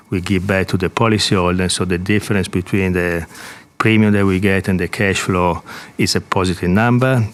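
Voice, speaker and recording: A man with a low voice gives an explanatory financial presentation in the role of a company executive. He speaks haltingly, with frequent hesitations and repeated words as he works through each point.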